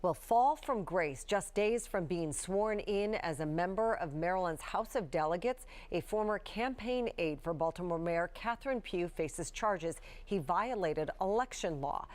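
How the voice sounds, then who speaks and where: News anchor, female, American, lower register, scripted broadcast read. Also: animated, unhurried, few pauses.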